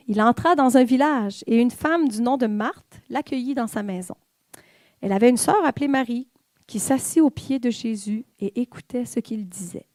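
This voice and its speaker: A woman's voice: on the high side, darkish tone, no room sound. A woman with a Québécois French accent giving an informal, conversational sermon in church, with fillers and the odd whispered aside. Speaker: church speaker.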